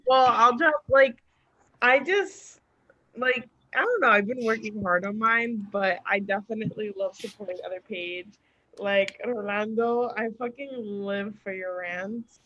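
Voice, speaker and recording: A woman speaks as a podcast guest in a casual, earnest and supportive way. Her mid-pitched voice comes through a slightly dull, lo-fi remote recording. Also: a little slow, bright, forceful tone. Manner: encouraging, earnest. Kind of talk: casual chat. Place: remote group call.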